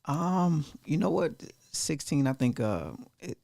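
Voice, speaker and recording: A soft-spoken man in his forties answering interview questions in a studio, hesitant, with many ums. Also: a little high, very animated, takes it slowly, gravelly, no room sound. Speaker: guest entrepreneur. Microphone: studio mic.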